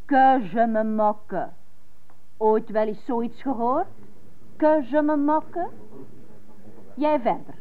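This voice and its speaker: Impatient lady schoolteacher, high voice, slow n insistent, old radio play, tinny band. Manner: deliberate, didactic, insistent. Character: strict, increasingly impatient female schoolteacher drilling French. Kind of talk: radio drama acting. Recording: thin, phone-like 1980s radio recording.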